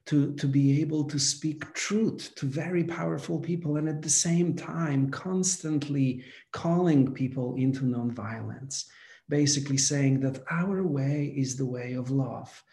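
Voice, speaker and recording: A man in his forties from Poland, a guest telling his life story in a halting way, with many fillers. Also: mid-range voice, vocal fry, mellow, echoey room. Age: 40s. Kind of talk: reflective conversation.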